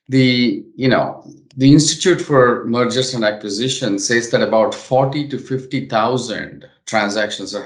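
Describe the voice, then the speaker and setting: A man hosting a business interview, speaking in halting, chatty phrases, in a roomy echoing recording. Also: mid-range voice. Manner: halting, chatty phrasing with frequent "you know". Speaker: interview host.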